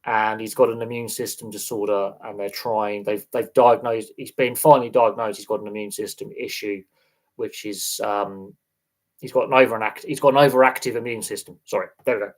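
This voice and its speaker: A man talks casually to his livestream audience, rambling and halting as he restarts his sentences. His voice is a little congested from a sniffle. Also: lowish voice, steady tone. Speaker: sports channel host.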